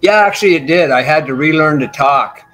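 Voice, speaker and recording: A man in his sixties, a bassist guest telling long rambling stories in a casual interview over a video call from a small home room. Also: fairly quick, fairly bright tone, slight background noise. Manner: talkative, rambling storyteller. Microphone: video call with desk mic.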